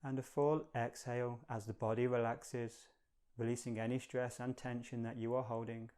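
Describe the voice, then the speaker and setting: Smooth, even-toned male meditation guide. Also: medium pitch. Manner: calm, measured, gentle. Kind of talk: guided meditation, slow and soothing instruction.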